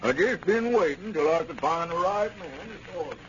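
An actor playing a pompous, formal old small-town squire with a grandiose manner in a 1930s radio comedy. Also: male voice, a little high, lively intonation, moderate pace, close to an everyday voice. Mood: self-important. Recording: scratchy, muffled 1930s radio recording.

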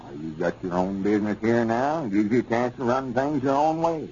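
A man's voice: mid-range voice, brisk, darkish tone, lightly acted. An actor playing a stubborn, folksy small-town businessman with a rural Southern accent in a 1930s radio comedy. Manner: folksy, argumentative. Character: stubborn, self-justifying small-town male business partner. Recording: noisy, muffled 1930s radio recording.

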